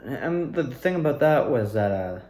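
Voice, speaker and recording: A man's voice: mid-range voice, sing-song, moderate pace, a little mellow. A young man reflecting casually and unscripted to his viewers, rambling hesitantly and often trailing off. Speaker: amateur actor and video maker. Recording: echoey room.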